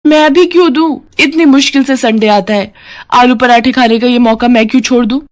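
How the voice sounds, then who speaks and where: A woman's voice: on the high side, fairly quick, pitched above the performer's own voice, lightly acted. A woman voicing a proud working daughter-in-law, indignant and childishly argumentative, in a family story.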